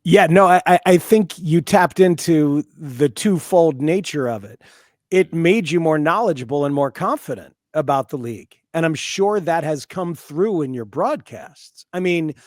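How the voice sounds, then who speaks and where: A man's voice: fairly high pitch, expressive, a little slow, no room sound, studio quality. An American man hosting a sports podcast, talking in a casual but emphatic and wordy way. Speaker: sports podcast host and broadcaster. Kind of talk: casual interview commentary.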